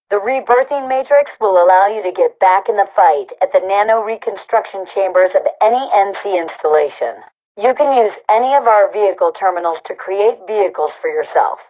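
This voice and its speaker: An actor playing a brisk, no-nonsense female military overseer in a sci-fi shooter game, speaking with clipped authority through a radio comms filter. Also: mid-range voice. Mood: encouraging, firm. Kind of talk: scripted game announcements and briefings. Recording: tinny narrowband radio transmission.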